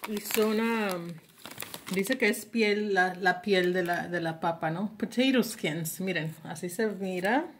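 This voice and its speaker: A woman chats casually through a product review as a vlogger. She takes her time, speaking slowly at an average pitch, and slips English words into her sentences. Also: a little hiss or hum. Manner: mixes English words into Spanish. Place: indoors at a table at home.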